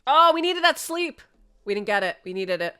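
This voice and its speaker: A woman's voice: sits high, sing-song, moderate pace, pushed and bright, smooth. A young woman casually commentating her gameplay to viewers, sniffly from a sinus infection, chatty and upbeat, recorded indoors on a desk mic. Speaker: gaming content creator.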